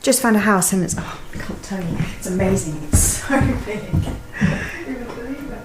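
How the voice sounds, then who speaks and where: An actress playing an excitable, impulsive young expectant wife in a naturalistic radio drama, breathless and gushing. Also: female voice, mid-range voice, normal speed, very noisy, close to an everyday voice. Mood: excited, delighted.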